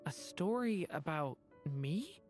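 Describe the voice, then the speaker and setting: A polite young dragon boy from a video game. He sounds earnest and gentle, fairly low yet lively in pitch, with music clearly heard behind. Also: slow. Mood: surprised, wondering. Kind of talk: video game character acting.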